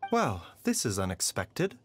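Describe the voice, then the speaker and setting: Courteous, lilting young physician. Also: male voice, lowish voice, wildly swooping pitch, soft background music. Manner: polite, polished, measured. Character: courteous, composed young male physician, gently refined. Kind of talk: video game voice acting.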